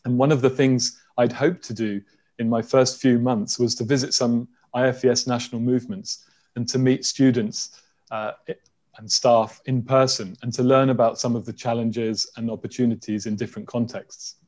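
A man's voice: mid-range voice, steady tone, moderate pace, a little mellow. A man hosting an interview over a video call from home, friendly and a little hesitant, with frequent fillers. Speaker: host.

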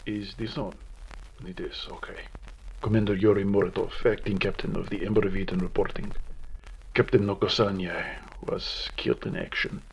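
A man's voice: sits low, little pitch movement, normal speed, vocal fry, breathy edge, full character voice, poor audio. A man playing a grim, weary veteran security commander, solemnly recording a formal military log in an audio drama. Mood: grave, formal.

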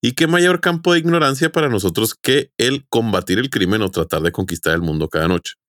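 A man's voice: lowish voice, brisk, fairly bright tone, dry recording, studio quality. A man co-hosting a podcast, presenting a prepared topic in an informal, conversational way. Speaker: podcast co-host.

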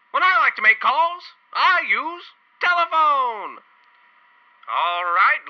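A man playing a peppy, hammy old-time radio newsman in a corny, theatrical 1940s announcer style. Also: high voice. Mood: chipper. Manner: theatrical, corny showman delivery. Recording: dull lo-fi radio sound, music behind.

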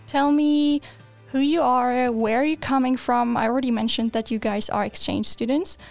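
A young woman in her twenties hosting a student podcast, upbeat and quick to laugh. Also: on the high side, steady tone, vocal fry, darkish tone, faint music behind. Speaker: podcast host. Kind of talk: friendly podcast interview.

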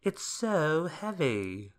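Man acting an old washerwoman, storybook figure, high pitch, slow, polite, pleading. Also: very animated, heavily acted. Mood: pleading, weary. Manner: pleading, courteous. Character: polite, frail old washerwoman asking for help.

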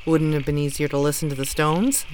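A woman's voice: deep voice, steady tone, fast talker, darkish tone, a little hiss or hum, close to an everyday voice. An actress playing an earnest, exacting mother devoted to tradition in an audio drama, with an insistent, instructive manner. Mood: gentle, puzzled. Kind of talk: naturalistic audio drama dialogue.

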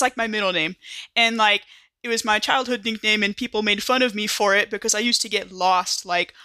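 A woman's voice: mid-range voice, sharp tone, clear, resonant tone, no room sound, close to an everyday voice. A woman playing an easygoing, eagerly amazed young man in a tabletop game, slangy, rambling and cheerfully naive. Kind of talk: improvised tabletop roleplay.

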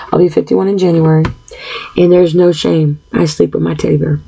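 Gravelly, husky woman in her fifties. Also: lowish voice, flat delivery, warm tone, lo-fi sound. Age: 50s. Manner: halting, earnest, frequent throat-clearing and fillers. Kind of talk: personal monologue.

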